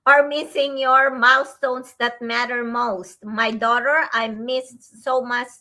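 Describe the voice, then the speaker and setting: A woman from the Philippines, a grandmother, co-hosting a casual online talk show in a slow, earnest way with many fillers. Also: a little high, flat delivery, pushed and bright. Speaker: co-host.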